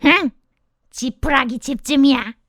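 A woman voicing a greedy, boastful big bad wolf for a children's storybook, with a creaky, threatening, theatrical delivery. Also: high voice, slow, noisy background. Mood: scornful, threatening.

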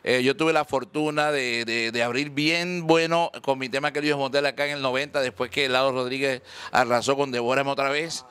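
A man's voice: mid-range voice, fairly even intonation, moderate pace, fairly bright tone, clear, resonant tone. A man in his forties, a salsa singer at an indoor press conference, talking effusively and telling jokes with frequent laughter. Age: 40s. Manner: boisterous, tells jokes, laughs often. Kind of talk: joking, effusive press talk. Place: indoor restaurant, seated at a table. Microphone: table microphones, later handheld mic.

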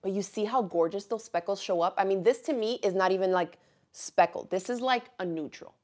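A woman's voice: medium pitch, fairly quick. Chatty woman hosting a craft live chat. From Miami, USA. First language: Spanish. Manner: chatty and animated, laughs often, reads viewer comments aloud. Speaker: craft channel host. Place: small indoor craft room with quilts on the wall.

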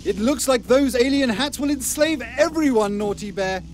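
A man's voice: extremely high pitch, normal speed, background noise. A man with a British accent playing a fussy, kindly children's storybook narrator in a game, theatrical and quaintly polite. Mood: worried. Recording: music under the voice.

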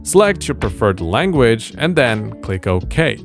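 A man narrating a scripted software tutorial as host, with an emphatic, sing-song instructional delivery. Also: mid-range voice, very animated, takes it slowly. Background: background music.

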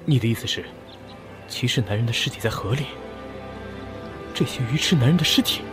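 A man's voice: medium pitch, expressive, brisk, natural voice. An actor playing a puzzled young man questioning a ghost tale in a Chinese audio drama. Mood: puzzled, uneasy. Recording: music under the voice.

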